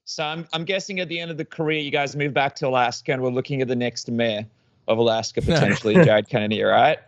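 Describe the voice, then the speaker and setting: A man's voice: mid-range voice, quick pace, no echo, dull audio. A male podcast co-host who teases the guest and asks long, careful questions. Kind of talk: casual interview chat.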